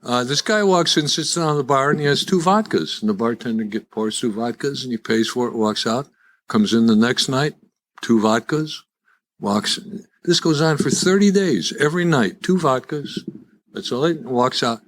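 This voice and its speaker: A man's voice: medium pitch, lively intonation, normal speed, a bit bright. Older Chicago man telling stories live. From Chicago, USA. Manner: wry, folksy, conversational storytelling. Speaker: guest speaker at a recovery meeting. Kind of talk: live personal testimony with jokes. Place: live in-person meeting before an audience.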